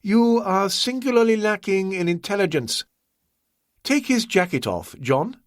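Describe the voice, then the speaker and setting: A man's voice: high voice, animated, unhurried, no echo, studio quality, voice pushed up. A man voicing a silky, menacing crime boss. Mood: coldly menacing. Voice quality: smooth, silky, quietly threatening. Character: suave, soft-spoken, menacing older male crime boss.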